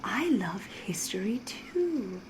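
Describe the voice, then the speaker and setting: A woman acting as ancient vampire woman, ASMR roleplay, very slow, soft whispery voice, coy and ominous, noisy ambience behind. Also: mid-range voice, big pitch swings. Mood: coy, flirtatious. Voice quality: breathy, soft, often whispering. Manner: coy, deliberate, ominous, theatrical, drawn-out phrasing.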